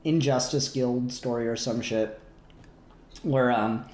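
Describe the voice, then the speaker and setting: A man's voice: medium pitch, slow, a little mellow. A man hosting a casual comic book discussion podcast, informal and joking, with some swearing. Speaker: podcast host. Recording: roomy, echoey voice pickup.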